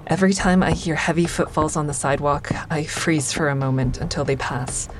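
A woman's voice: low voice, lightly acted. An actress playing a wry, wary stand-up comic, narrating her life candidly in an audio drama. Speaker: lead character and narrator.

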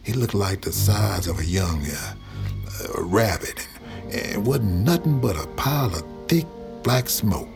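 An actor playing a folksy Southern man who recalls dark events in a halting, drawn-out storytelling manner for an audio drama. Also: lowish voice, lively intonation, vocal fry, over music. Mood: haunted, wondering. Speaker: narrator.

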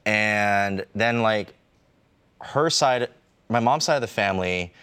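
A man's voice: lowish voice, animated, slow, a bit bright, clean tone. A man chatting casually on a podcast, telling a rambling story with lots of fillers. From United States. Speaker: podcast co-host. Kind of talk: casual chat.

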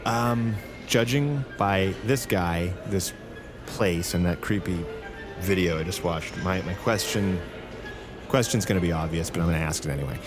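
A man playing a wry, bewildered newcomer confined in an asylum, halting and sardonic, in naturalistic audio drama style. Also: low voice, over music, natural voice.

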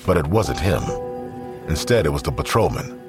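A man narrating a scripted audio drama in a measured, suspenseful, storytelling manner. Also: a bass voice, expressive, music in the background.

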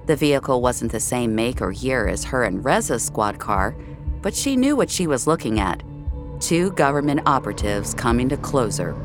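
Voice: medium pitch, big pitch swings, normal speed, over music. A narrator reading scripted audio fiction in a wry, hard-boiled storytelling manner.

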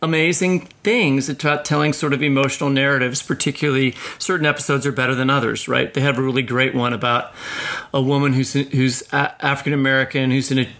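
A man's voice: mid-range voice, fairly even intonation, fast talker, a bit bright. A man hosting a podcast, talking casually and emphatically, often checking his own points. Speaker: podcast host.

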